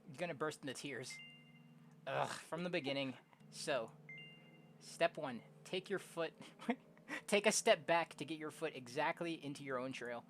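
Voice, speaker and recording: A young woman casually commenting on a game and reading its text aloud in a slangy, expressive way. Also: deep voice, normal speed, a little hiss or hum. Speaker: streamer playing a game. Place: own room at home.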